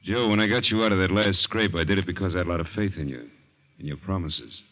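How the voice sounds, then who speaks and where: An actor playing a weary detective lieutenant who questions suspiciously in a 1940s radio drama. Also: male voice, low-pitched, quick pace. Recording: dull old radio broadcast.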